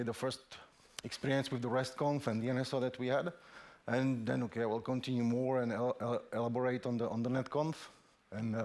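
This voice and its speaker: A man speaking English presents a technical talk on a conference stage. His pitch sits in the middle for a man, and he works through his points haltingly, repeating words and filling pauses. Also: a little mellow. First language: Slovak. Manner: hesitant, frequent restarts and fillers.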